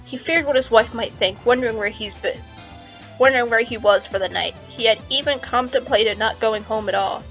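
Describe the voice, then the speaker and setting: A woman's voice: a little high, fairly quick, a bit bright, low-quality recording. A woman narrating comedic fan fiction, playful and amused, mixing dramatic reading with casual asides. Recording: music behind the voice.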